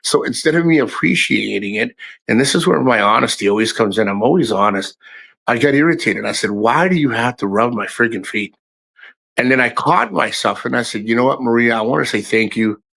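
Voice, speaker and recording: Middle-aged male streamer, gravelly fry, mid pitch, congested from a cold, profane rambling chat. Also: animated, fairly quick, fairly bright tone. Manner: rambling, profane, restarts often, addresses chat by name. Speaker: livestream host. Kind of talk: casual live-stream chat to viewers. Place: his house.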